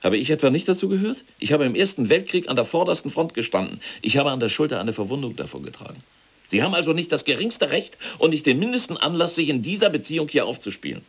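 An actor playing a pompous, pedantic middle-aged SS general in a German radio play, husky and haughty with clipped delivery. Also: male voice, on the high side, big pitch swings, fast talker, full character voice. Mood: angry, scornful.

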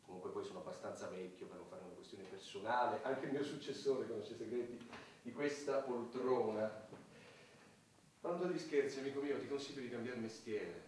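A man's voice: mid-range voice, lively intonation, brisk, darkish tone, slight background noise, natural voice. A man playing a cynical, self-important older president in a radio play, speechifying in a pompous, sardonic way. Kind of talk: theatrical radio drama acting. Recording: very echoey hall, distant mic, music.

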